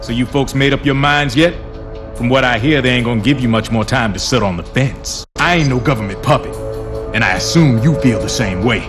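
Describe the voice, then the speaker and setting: A streetwise game superhero, acted by a man, mid-pitched and defiant. He talks bluntly and colloquially over clear background music. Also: fairly quick, lightly acted. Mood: wry conviction.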